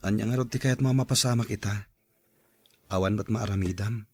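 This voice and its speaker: Actor as a husky, troubled young suitor. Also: male voice, lowish voice, fairly quick. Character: poor, hesitant young suitor. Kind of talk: Ilocano radio drama acting.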